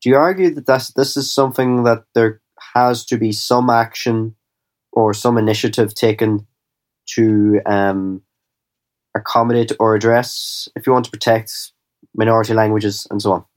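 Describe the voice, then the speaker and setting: A man interviewing a guest, putting measured, probing questions to him. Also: lowish voice, fairly even intonation.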